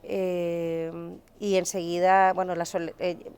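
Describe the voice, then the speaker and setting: A woman in a documentary interview, recounting the past in a measured way and stressing each item as she lists it. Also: lowish voice, level tone, a little mellow, clear, resonant tone.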